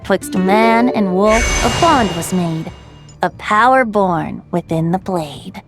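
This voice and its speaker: A woman playing a calm, playful female guide telling old lore in a video game, measured and poised. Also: mid-range voice, over loud music, close to an everyday voice. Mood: measured, solemn. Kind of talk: scripted game dialogue, storytelling.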